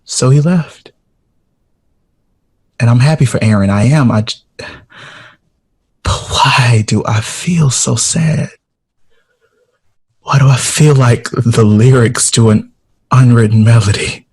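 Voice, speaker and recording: A man's voice: medium pitch, slow, pauses often, a little mellow, slightly rough. An actor playing a heartbroken, wistful male jazz composer in a 1960s audio drama.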